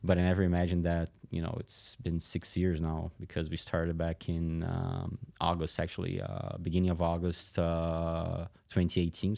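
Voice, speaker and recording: A man in his thirties giving casual interview answers as a tech executive, halting and thinking aloud as he goes. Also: low-pitched, vocal fry, warm tone. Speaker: tech company chief executive and co-founder, podcast guest.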